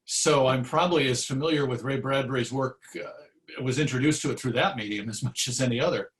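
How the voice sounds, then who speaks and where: A man with a medium-pitched voice speaks as a guest in a conversational interview. He is reflective and measured, often pausing mid-thought before carrying on. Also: fairly bright tone. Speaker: interview guest, radio show host. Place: recorded virtual session.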